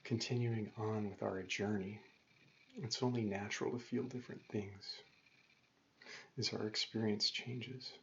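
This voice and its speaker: A man leading a guided meditation as a yoga teacher, calm and gentle, giving measured instructions with pauses. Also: fairly low pitch, vocal fry. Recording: dull lo-fi recording with faint noise.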